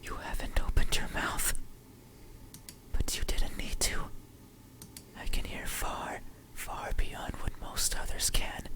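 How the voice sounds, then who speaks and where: A performer voicing a creepy, obsessive supernatural entity in a slow, deliberate, breathy whisper for a horror ASMR game. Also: natural voice. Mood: eerie, insistent.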